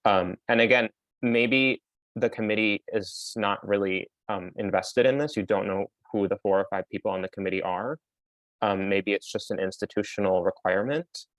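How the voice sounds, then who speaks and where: A man on a faculty panel giving thoughtful advice on job applications, pausing often with frequent ums. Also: on the low side, no echo, poor audio.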